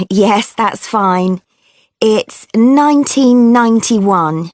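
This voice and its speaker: A woman plays a friendly female passenger answering survey questions in an everyday scripted dialogue. She is chatty and obliging, with a medium pitch and a slow, careful pace. The sound is dry and close. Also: dry recording.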